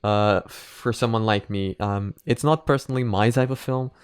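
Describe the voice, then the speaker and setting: Young male reaction host, clear smooth voice, close dry sound, informal and meandering delivery. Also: lowish voice, dry recording. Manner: rambling, halting, informal swearing. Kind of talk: casual reaction commentary and analysis.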